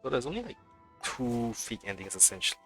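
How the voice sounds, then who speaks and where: A man's voice: medium pitch, sing-song, a little fast, fairly bright tone, soft background music. A man casually commenting while streaming a video game. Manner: casual, offhand. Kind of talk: let's play commentary.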